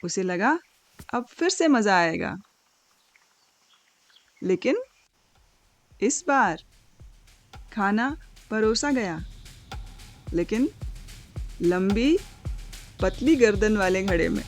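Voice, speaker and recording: A woman's voice: mid-range voice, animated, extremely slow, pauses often, dry recording. A woman storyteller reading a scripted moral fable as narration. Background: faint music.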